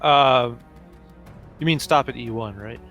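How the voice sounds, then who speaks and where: A man's voice: medium pitch, measured pace, fairly bright tone, faint music behind. A man playing a tabletop game, chatting casually and thinking tactics through aloud.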